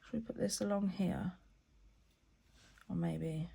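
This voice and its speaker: A woman's voice: lowish voice, slow, gravelly, warm tone, slightly rough. A woman hosting a craft vlog, chatting and thinking aloud as she works, a bit stuffy with a cold. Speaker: craft channel host. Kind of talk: casual crafting vlog talk.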